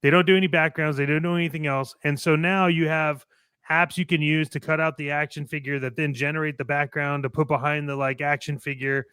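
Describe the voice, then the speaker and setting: A man's voice: a little high, fairly even intonation, fairly quick, sharp tone, smooth, no echo. A man co-hosting a show, giving casual opinions in a blunt, emphatic way with some swearing. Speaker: co-host of a toy collecting show.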